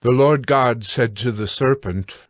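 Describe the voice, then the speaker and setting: A man narrating scripture from a script in a measured, solemn way. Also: medium pitch, no echo, clean studio sound.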